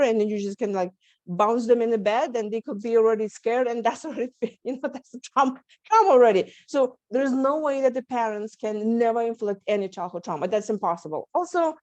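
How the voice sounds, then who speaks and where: A woman's voice: a little high, darkish tone, no echo, highs cut off. A woman from Lithuania with a Lithuanian accent, a stress coach telling her story in an earnest interview. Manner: earnest, many restarts and small laughs. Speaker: guest, stress management coach. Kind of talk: candid interview talk. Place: home setup, video call. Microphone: video call.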